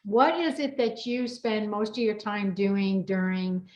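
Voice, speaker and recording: A woman hosting a casual group chat in an excitable manner, often repeating her phrases. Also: mid-range voice, a little slow, highs cut off. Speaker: host. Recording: roomy, echoing sound.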